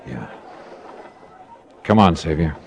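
A man's voice: very deep voice, lively intonation, slow pace, creaky, a crowd in the background, noisy background. A man narrating and playing a hard-boiled insurance detective in a 1950s radio drama, terse and matter-of-fact. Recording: old radio broadcast with music.

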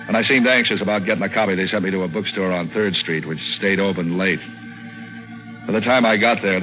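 An actor with an American accent playing a tough, cynical private eye in a 1940s radio drama, slangy and deadpan. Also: male voice, sits low, lightly acted, poor audio. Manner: hard-boiled, slangy, deadpan. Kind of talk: 1940s radio noir acting and narration. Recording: vintage 1940s radio broadcast, music behind.

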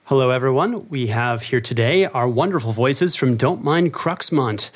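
A man's voice: medium pitch, expressive, normal speed, music in the background. A man narrating and hosting as a producer, moving between scripted reading and interview questions. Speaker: show director, producer and interviewer.

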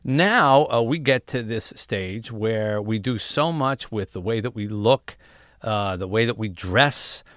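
A male talk show host speaking at a middle pitch into a studio mic with a dry, close sound. He tells stories and weighs in with firm opinions in a relaxed, conversational way. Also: dry recording. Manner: reflective, storytelling, opinionated. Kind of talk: casual radio talk.

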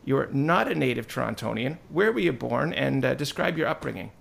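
A man's voice: medium pitch, expressive, brisk, creaky, a bit bright. A man hosting a podcast interview, asking friendly prepared questions. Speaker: podcast host. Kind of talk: interview host.

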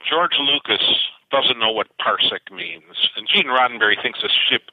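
A man's voice: mid-range voice, animated, moderate pace, dry recording. An older man, a science fiction author being interviewed, telling stories animatedly with frequent restarts. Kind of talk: interview over the phone. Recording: tinny phone line, dry.

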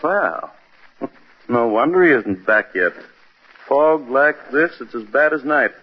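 A man playing an irritable, selfish husband and scientist in an old-time radio drama, impatient and blustery, with a husky voice. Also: medium pitch, expressive, slow pace. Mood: irritated, blustering. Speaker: radio actor. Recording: muffled old radio recording.

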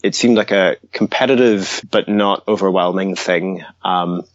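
A man's voice: low-pitched, measured pace, no echo, highs cut off. A man from Northern Ireland, a scientist, answering interview questions with frequent ums and restarts. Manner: hesitant, many ums and restarts. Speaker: guest scientist.

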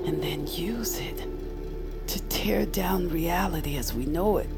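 An actress playing a weary, ominous woman mystic in audio fiction, grave and deliberate, often hushed to a whisper. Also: fairly low pitch, gravelly, exaggerated voice.

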